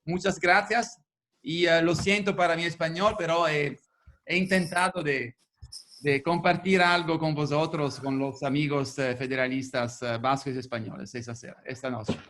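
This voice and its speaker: A man with a fairly high voice speaks in a halting, careful way, often restarting words. He talks in a language that is not his first. The sound comes through a video call. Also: fairly even intonation, fairly bright tone. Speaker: federalist speaker. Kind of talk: webinar talk.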